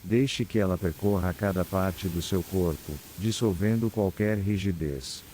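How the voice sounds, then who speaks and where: A man with a Brazilian accent guides a scripted meditation in a calm, soothing, unhurried manner with long pauses. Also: deep voice, slight background noise, rough recording. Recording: clear background ambience of ocean waves. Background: sea waves ambience.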